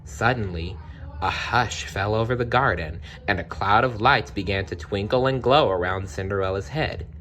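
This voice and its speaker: A man narrating a children's storybook aloud in an expressive read-along style. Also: fairly low pitch, moderate pace, slight background noise. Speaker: storybook reader.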